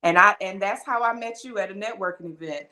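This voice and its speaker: A woman co-host of middling pitch who backs up her guest with frequent agreement before asking follow-up questions. Her delivery is conversational and encouraging. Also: fairly quick, sharp tone. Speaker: podcast co-host. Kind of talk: interview questions and affirmations.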